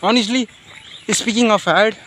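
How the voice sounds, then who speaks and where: A man with a Nepali accent speaking off the cuff in a personal monologue, halting and rambling, often repeating his phrases. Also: high voice, fairly bright tone, slight background noise. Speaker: vlogger.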